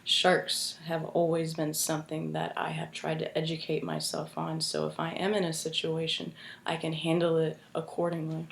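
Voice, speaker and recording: A young American woman giving an informative class speech in an earnest, halting way, with frequent restarts, at home with her roommates listening. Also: low voice, completely flat delivery, vocal fry, slight background noise. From United States. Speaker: student speaker. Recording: echoey room.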